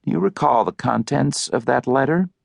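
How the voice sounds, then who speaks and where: A man voicing a shrewd elderly senior lawyer who questions formally and deliberately, in an audiobook novel. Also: mid-range voice, expressive, vocal fry, no room sound, clean studio sound, voice pushed up. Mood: coolly probing.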